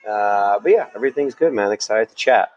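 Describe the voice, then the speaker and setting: A friendly New man with a fairly low voice. He answers interview questions in a relaxed way. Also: brisk, muffled recording. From New Jersey. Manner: relaxed, friendly, laughs along. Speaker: musician guest.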